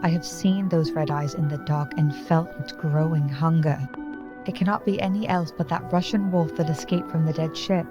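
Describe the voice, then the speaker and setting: A woman playing a fanciful, haunted yet defiant young gentlewoman in a radio play, with a theatrical, genteel delivery. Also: low voice, steady tone, moderate pace, highs cut off, music in the background, lightly acted. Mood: haunted certainty.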